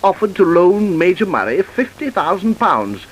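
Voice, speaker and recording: A genteel male witness for a vintage radio play, with a husky voice that leaps and dips dramatically. He is hesitant and apologetic, rambling politely through his sentences. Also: high voice, sing-song, normal speed, exaggerated voice, poor audio. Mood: earnest, rambling. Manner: hesitant, polite, rambling, with repeated filler phrases. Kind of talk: old-time radio drama acting.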